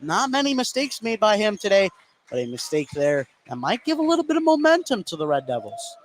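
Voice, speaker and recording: A man doing live play-by-play basketball commentary for radio, getting excitable on big plays. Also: high voice, fairly quick. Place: indoor basketball gym. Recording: noisy live gym broadcast. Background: loud game ambience.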